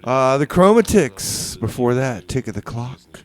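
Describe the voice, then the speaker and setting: A man works the mic as a comedic community radio host. His delivery is rambling and halting, looping back over his own phrases. He keeps a mock-earnest, playfully exasperated tone as he moves between songs. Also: medium pitch, expressive. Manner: rambling, halting, repeats himself.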